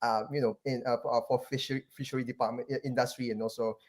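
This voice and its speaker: A man's voice: mid-range voice, flat delivery, quick pace, darkish tone. Rambling Malaysian man telling his story. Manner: rambling, many fillers and restarts. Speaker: guest, venture capital partner. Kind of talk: casual interview, life story.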